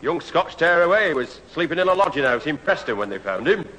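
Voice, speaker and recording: Animated, exasperated police constable. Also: male voice, a little high, fairly quick, heavily acted. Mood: officious. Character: exasperated, slow-witted male police constable. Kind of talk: 1970s radio sitcom acting. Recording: reverberant, noisy old radio hall recording.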